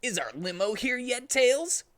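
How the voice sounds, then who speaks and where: A man's voice: very high-pitched, sing-song, unhurried, a bit bright, voice pushed up, exaggerated voice. A man voicing a sulky, melodramatic teenage hedgehog hero with petulant delivery in a dramatic story reading. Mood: excited, urgent.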